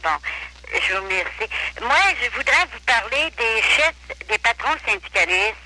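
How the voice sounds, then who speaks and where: A woman with a Quebec French accent calling a radio show, polite, hesitant and halting. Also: on the high side, lively intonation, pushed and bright, slight background noise. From Quebec, Canada. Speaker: phone-in caller. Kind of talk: spontaneous phone call. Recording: old telephone line on radio.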